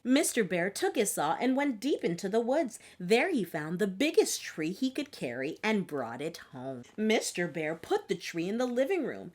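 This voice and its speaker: A woman reading a children's picture book aloud in an expressive, dramatic storytelling manner. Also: medium pitch, moderate pace, hardly any pauses. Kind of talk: scripted storybook narration.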